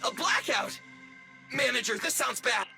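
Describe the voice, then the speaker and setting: Bright, high, excitable robot sidekick. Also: male voice, unhurried, vocal fry, soft background music, full character voice. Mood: alarmed. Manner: brash, informal.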